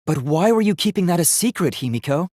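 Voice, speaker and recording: K1-B0, an earnest, lively-pitched young robot. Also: male voice, fast, no room sound, studio quality. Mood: insistent, logical. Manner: formal, precise, articulate, earnest. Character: polite, earnest, logical young male robot. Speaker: video game character. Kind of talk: English video game voice acting.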